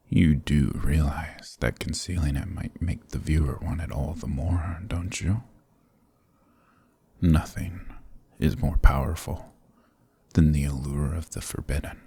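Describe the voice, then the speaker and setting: A man playing a possessive, dominant vampire lord in an intimate ASMR roleplay, gravelly and breathy, often whispering, with courtly, teasing menace. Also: very deep voice, mellow, no echo, heavily acted. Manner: courtly, silky, teasing, menacing, drawn-out phrasing.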